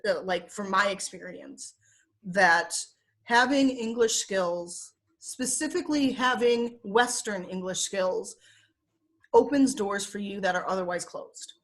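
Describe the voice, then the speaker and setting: A woman's voice: medium pitch, unhurried, poor audio. Woman, Michigan accent, young adult, casual interview guest, filler-heavy, laughs easily, video call. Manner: chatty with frequent fillers, laughs often.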